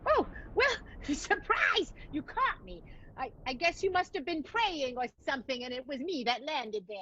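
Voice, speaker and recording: A woman's voice: sits high, lively intonation, moderate pace, bright, forceful tone, a little hiss or hum. A woman playing a plucky, gutsy young vaudeville performer with perky, emphatic old-time talk in an improvised radio play, on a dull, dry recording.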